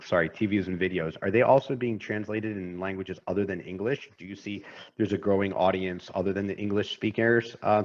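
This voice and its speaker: A man's voice: sits low, highs cut off. An American man hosting a casual interview, rambling and restarting his questions as he goes. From United States. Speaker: podcast host.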